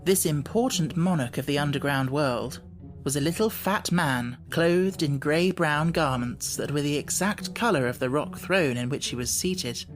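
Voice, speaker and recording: A man reading scripted storybook narration as an audiobook narrator. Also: on the high side.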